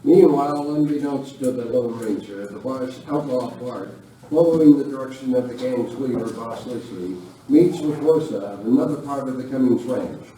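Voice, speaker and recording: A man's voice: medium pitch, normal speed, mellow. A man reading scripted narration for an amateur live radio western. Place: village hall live performance. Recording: very echoey room, distant, faint noise.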